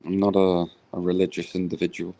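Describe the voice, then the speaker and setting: Easygoing deep-voiced British man. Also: monotone, brisk, mellow. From Britain. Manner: easygoing, often trails off and restarts. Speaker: stream guest. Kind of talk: casual live-stream chat.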